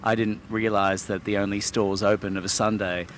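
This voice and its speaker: A middle-aged man from Sydney speaks in a fairly low voice. He is articulate and a little wordy, with hesitant fillers and small laughs. A faint noise sits under the recording. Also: level tone, normal speed. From Sydney, Australia. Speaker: wine expert guest. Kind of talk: relaxed interview talk.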